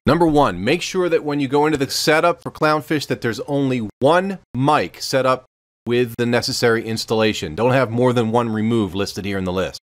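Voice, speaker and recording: A man in his fifties giving an informal, instructional software tutorial at a close microphone in a small room. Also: medium pitch, dry recording. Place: small home studio room, indoors.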